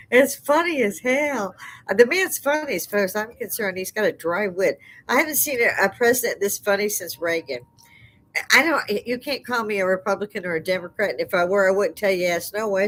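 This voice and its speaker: Older woman, loud and laughing, a live-stream host chatting at her desk mic. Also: medium pitch, low-quality recording. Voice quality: loud speaking voice. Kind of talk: casual live-stream chat. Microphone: desk microphone.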